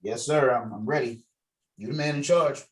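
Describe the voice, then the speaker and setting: A man reading the part of a deferential, low-key rookie police officer in a film script read. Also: normal speed, distant mic, close to an everyday voice. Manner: modest, agreeable.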